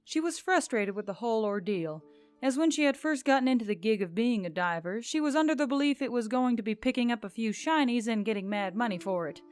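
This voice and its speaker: Higher-pitched woman narrator over music. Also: animated, fairly quick, a little mellow, clear, resonant tone. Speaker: audiobook narrator. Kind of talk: scripted story narration. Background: background music.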